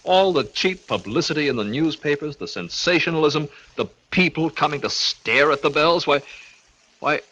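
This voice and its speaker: An actor playing a guilt-haunted man in a 1940s radio thriller, melodramatic and anguished, moving between hushed narration and frantic outbursts. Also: fairly high pitch, expressive, normal speed, low-quality recording. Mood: pleading, bitter. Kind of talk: 1940s radio suspense acting, first-person narration. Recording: old 1940s radio broadcast, music behind.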